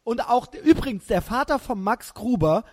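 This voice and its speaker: A German man in his thirties hosting a podcast, chatting casually and telling animated, slangy stories with frequent laughter. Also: extremely high pitch, normal speed. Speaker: podcast host. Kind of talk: casual chat.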